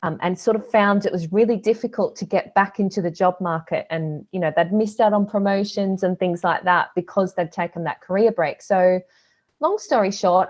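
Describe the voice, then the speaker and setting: A woman's voice: mid-range voice, a little mellow, dull audio. A woman from Australia with an Australian accent, a guest on a podcast, talking chattily with frequent fillers and laughs. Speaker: guest, social media business owner. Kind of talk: casual podcast interview.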